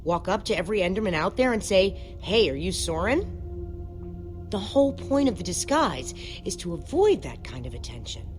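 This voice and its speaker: A woman acting a blunt young female sidekick from an adventure game. Her mid-range voice moves around a lot, brisk and sarcastic, with faint music underneath. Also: medium pitch, very animated, lightly acted. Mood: skeptical. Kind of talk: natural video game voice acting.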